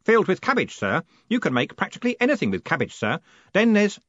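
Guy doing a naive young clerk in a storybook, eager and polite, voice pitched up high and bouncy. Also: wildly swooping pitch, fast, a bit bright, no room sound, lo-fi sound, full character voice. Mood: enthusiastic. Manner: deferential, earnest, enumerates pedantically. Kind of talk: audiobook character voice.

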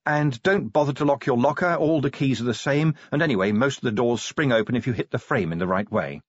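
A man voicing a brisk, world-weary, irritable female police sergeant in an audiobook. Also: medium pitch, expressive, slightly rough, dry recording, lo-fi sound, pitched above the performer's own voice. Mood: brisk, awkward. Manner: brisk, matter-of-fact.

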